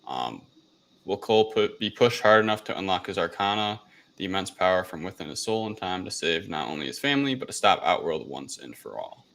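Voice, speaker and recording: A man with a deep voice and a crisp, bright tone hosts a casual film discussion. He talks haltingly, now and then stumbling on a word and fixing it. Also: sing-song. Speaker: host of a movie review show. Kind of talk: casual film discussion, reading a plot summary.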